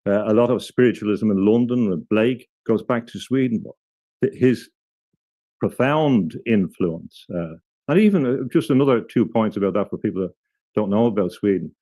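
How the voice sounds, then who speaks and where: Low, mellow-voiced man explaining. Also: lively intonation, no echo, rough recording. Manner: thoughtful, halting, frequent restarts. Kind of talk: explanatory interview talk.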